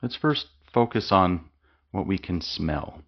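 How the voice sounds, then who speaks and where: A man leading a guided mindfulness meditation with slow, calm, soothing instructions and long pauses. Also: low voice, muffled recording.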